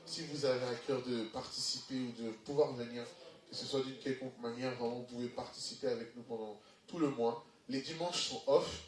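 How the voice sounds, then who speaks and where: An energetic man, addressing a church congregation as a guest ministry speaker. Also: mid-range voice, fairly quick, a little hiss or hum. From Belgium. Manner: energetic, upbeat. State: has a cold, sniffly. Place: church hall. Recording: echoey hall from far off.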